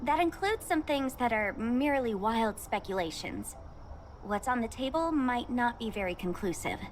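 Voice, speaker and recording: A woman's voice: a little high, expressive, normal speed, slight background noise, lightly acted. A woman playing a polite, studious researcher in a video game, explaining things formally and helpfully. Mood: measured. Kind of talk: scripted video game voice acting.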